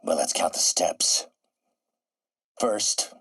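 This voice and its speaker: Older genius scientist villain, bitter, vengeful, theatrical, precise diction, husky, dry studio sound, video game acting. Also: male voice, low voice, extremely slow, fairly bright tone, no room sound, heavily acted. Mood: cold, scheming. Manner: theatrical, precise, erudite delivery. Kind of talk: video game voice acting, dramatic isolated lines.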